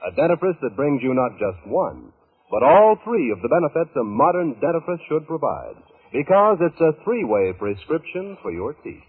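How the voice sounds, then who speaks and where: Lively announcer on narrow-band old radio. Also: male voice, a little high, normal speed, breathy edge. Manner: formal, polished sales delivery. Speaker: radio announcer reading a sponsor's ad. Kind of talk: 1940s scripted radio commercial. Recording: band-limited vintage radio recording.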